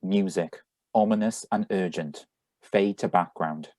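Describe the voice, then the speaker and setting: An actor is a brisk man in a radio drama reading, who also announces the sound effects. His voice is low. The sound is dry and close, with little room in it. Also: darkish tone, dry recording. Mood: flat announcing. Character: brisk, mildly exasperated grown son at breakfast. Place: remote live reading over video call.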